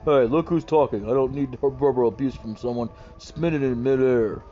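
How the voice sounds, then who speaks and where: A man's voice: medium pitch, moderate pace, lightly acted. A man voicing a put-upon young male game sidekick, willing but plaintive and protesting. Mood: offended. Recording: muffled, faint music behind.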